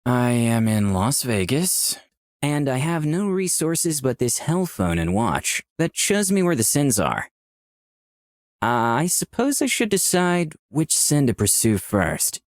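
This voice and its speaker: An actor playing a composed, charming incarnation of lust, in visual novel dialogue and inner monologue. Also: male voice, medium pitch, big pitch swings, takes it slowly, no echo, studio quality. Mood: musing.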